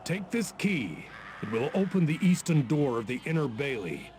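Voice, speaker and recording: An actor playing a steady, helpful ally in a video game, giving formal instructions. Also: male voice, fairly high pitch, moderate pace, a little hiss or hum. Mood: businesslike. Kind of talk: video game briefing dialogue.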